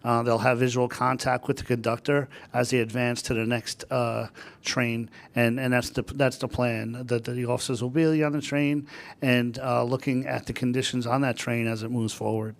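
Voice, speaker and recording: Male police chief, formal board report, halting delivery, gravelly flat voice. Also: medium pitch. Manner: halting, many fillers and restarts. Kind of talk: formal report at a public meeting. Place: boardroom meeting.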